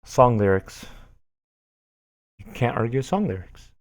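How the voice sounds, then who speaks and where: A man's voice: medium pitch, sing-song, slow pace, dry recording. A man streaming, reading forum posts aloud in a dramatic voice and commenting on them in a dry, amused way.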